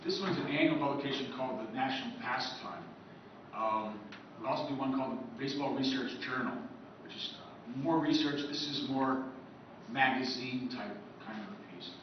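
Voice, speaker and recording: A man giving an informal presentation to a live group in a halting, conversational way with asides. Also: medium pitch. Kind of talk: informal lecture to a live audience. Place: convention meeting room with live audience. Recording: echoey, noisy, dull room recording from far off.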